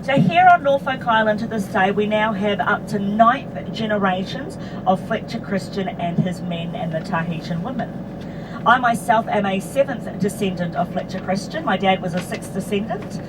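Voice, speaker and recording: A woman's voice: medium pitch, normal speed. A woman from New Zealand with a New Zealand accent, giving a chatty guided tour commentary as a bus driver, telling the history with casual asides. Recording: distant voice under loud bus noise. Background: vehicle engine and road noise.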